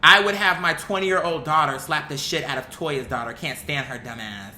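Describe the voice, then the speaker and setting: A woman's voice: low voice, normal speed, sharp tone. A woman giving a casual, opinionated commentary rant, blunt, profane and indignant, reading comments aloud and repeating phrases for emphasis. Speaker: online commentator reacting to viewer comments. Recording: echoey, roomy sound.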